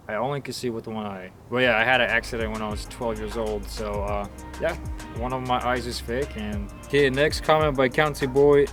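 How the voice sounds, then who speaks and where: A young man from Ukraine talks in a loose, easygoing vlog manner at a middling pitch. He is recorded outdoors with clear music playing behind him. His phrasing is relaxed and full of casual filler. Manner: laid-back, chatty, loose phrasing with "dude" and "like". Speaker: RC car channel host. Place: outdoors, windy day.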